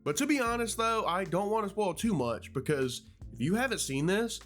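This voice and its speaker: A man with a fairly high voice narrates a YouTube video essay. His delivery is conversational with a playful streak, and music plays faintly behind him. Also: lively intonation, moderate pace, fairly bright tone. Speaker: YouTube host.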